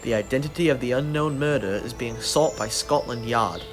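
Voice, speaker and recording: A man playing a loyal, probing best friend with measured delivery in an old-time radio drama. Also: mid-range voice, soft background music, lightly acted, rough recording. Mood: concerned, probing.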